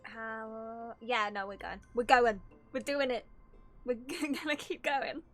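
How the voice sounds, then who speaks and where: A woman's voice: fairly high pitch, steady tone, takes it slowly. A woman streamer casually reacting to a game in a playful, teasing, giggly manner. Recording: game music behind. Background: game soundtrack music.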